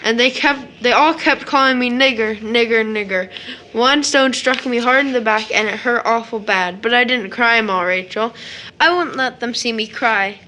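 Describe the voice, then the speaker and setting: A woman's voice: on the high side, moderate pace, bright, forceful tone, a little hiss or hum. A woman playing a sensitive, trusting seven-year-old boy in an audio drama, with a childlike, questioning manner. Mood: hurt, earnest.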